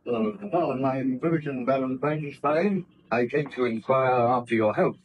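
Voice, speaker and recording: An actor playing a courteous, skeptical ship's captain in an old radio drama, speaking in a formal, measured way. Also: male voice, medium pitch, lo-fi sound, a little hiss or hum, natural voice. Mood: polite, doubtful.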